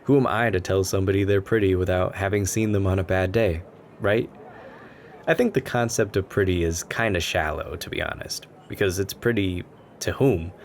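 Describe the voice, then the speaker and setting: A man playing a shy, anxious and gentle young stranger who rambles self-consciously in a soft ASMR roleplay. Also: low-pitched, moderate pace. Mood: rambling, pensive. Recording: background ambience noise. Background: coffee shop ambience.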